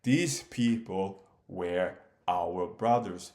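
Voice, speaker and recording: Slow, low-voiced Somali man in echoey room. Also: a little mellow. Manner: challenging, questioning, emphatic. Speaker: commentator. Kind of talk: direct-address monologue.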